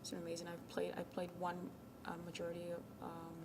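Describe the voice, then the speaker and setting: A young woman from Ghana, a college tennis captain, answering interview questions in a soft, casual way with many ums, sometimes dropping to a near whisper. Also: lowish voice, monotone, fast. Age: young adult. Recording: noisy background.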